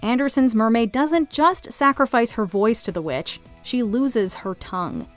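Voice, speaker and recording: A woman's voice: a little high, lively intonation, measured pace, soft background music. A woman reading scripted video essay narration into a close studio microphone. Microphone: close studio voice-over mic.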